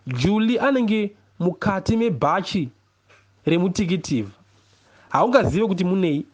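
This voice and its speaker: A man with a Zimbabwean accent reads a first-person literary story expressively, in a measured way with deliberate pauses. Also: high voice, lively intonation. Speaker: reader of a novel, poet.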